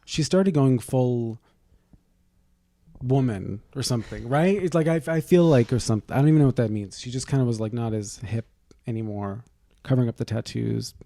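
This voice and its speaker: A man's voice: mid-range voice, moderate pace, gravelly, warm tone, no room sound. A man co-hosting a podcast, bantering casually with an irreverent, gossipy, joking manner. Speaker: podcast co-host.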